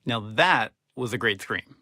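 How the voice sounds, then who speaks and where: A man's voice: mid-range voice, expressive, measured pace, raised voice, heavy background noise. An actor playing a smug, teasing teenage boyfriend in a campy horror video game, coaxing as he talks.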